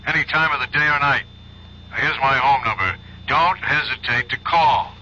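An actor playing a loyal, apologetic police chief in a radio drama, speaking in plain, clipped phrases. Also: male voice, mid-range voice, moderate pace, bright, forceful tone. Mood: helpful. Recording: muffled, hissy radio play phone call.

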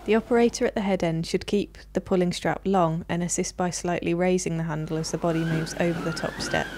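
A woman reads scripted instructional narration in a measured, formal, clearly enunciated manner. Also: on the low side, steady tone, background noise. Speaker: narrator of a product training video.

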